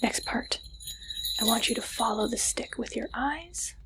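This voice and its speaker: A woman speaking in a soft, breathy whisper, playing an interviewer in an ASMR roleplay with slow, deliberate, deadpan delivery. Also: on the high side, poor audio.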